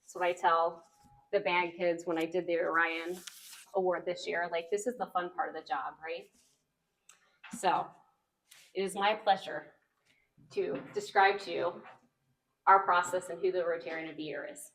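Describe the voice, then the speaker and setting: A woman's voice: lowish voice, fairly even intonation, moderate pace, long pauses, a bit bright. Woman presenting an award, slightly off-mic. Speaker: award presenter at a club banquet. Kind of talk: informal speech presenting an award. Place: banquet event, speaking to a group. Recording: live event sound, distant from mic.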